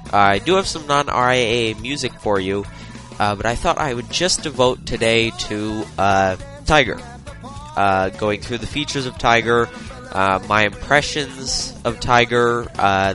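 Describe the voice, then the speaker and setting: A man's voice: medium pitch, sharp tone. A man with a head cold hosting a solo tech podcast, talking loosely with lots of uhs. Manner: rambling, many filler uhs. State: congested from a cold. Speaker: podcast host.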